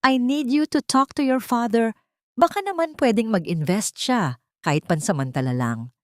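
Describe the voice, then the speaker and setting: A woman's voice: a little high, animated, moderate pace, no echo, clean studio sound, pitched above the performer's own voice, close to an everyday voice. A woman voicing a frustrated, desperate businessman who mixes English into exasperated pleas in an audio drama story. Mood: desperate, urgent. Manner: exasperated, code-switching between English and Tagalog. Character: frustrated, desperate businessman son, bellowing at his lover.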